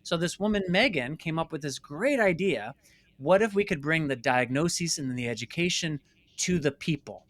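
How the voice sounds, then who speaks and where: A man in his late thirties speaks as an interview guest with a fairly high-set voice. He tells things emphatically and conversationally, slipping into imagined dialogue as he goes. The sound is dry, with very little room in it. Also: animated, normal speed, fairly bright tone, dry recording. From New York City, United States. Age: late 30s. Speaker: interview guest, filmmaker and company founder. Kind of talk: animated conversational storytelling.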